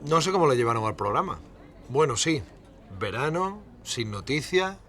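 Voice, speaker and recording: A man's voice: medium pitch, very animated, normal speed, music in the background, natural voice. An actor playing a blunt cameraman from Extremadura, speaking colloquially and hesitantly in a mock documentary interview. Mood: wry, indignant. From Cáceres, Spain. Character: TV cameraman from Extremadura recalling events, resentful but kindly.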